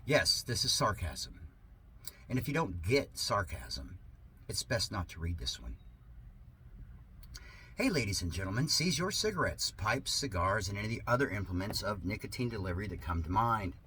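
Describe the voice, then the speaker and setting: A man speaking as an online host in a halting, emphatic, theatrical way, in the manner of someone sharing and reading satire aloud. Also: on the low side, big pitch swings, bright, forceful tone. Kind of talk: rambling talk and dramatic sarcastic reading. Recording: noticeable background noise.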